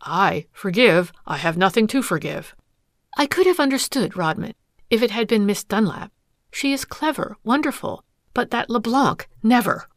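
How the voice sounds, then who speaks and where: A woman's voice: mid-range voice, animated, moderate pace, dry recording, clean studio sound, pitched above the performer's own voice, natural voice. A woman voicing a proud, bitter wife who has been wronged, speaking with passionate scorn. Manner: scornful, passionate, breaking into sobs.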